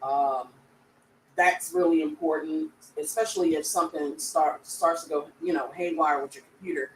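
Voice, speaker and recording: A woman's voice: low-pitched, fairly even intonation, normal speed. Distant echoey woman co-hosting. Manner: chatty, laughs easily, self-deprecating. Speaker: co-host, tech community founder. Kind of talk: casual livestream chat. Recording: echoey distant mic with faint background noise.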